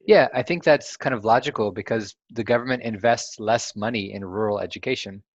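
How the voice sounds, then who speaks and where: A man's voice: on the low side, a little fast, no echo, muffled recording. A young man hosting a casual chat, asking curious questions and laughing easily. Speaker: host.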